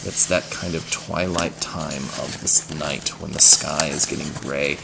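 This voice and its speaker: A man reading a story aloud in an animated style, pausing to explain words and ask questions. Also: very deep voice, vocal fry, very noisy.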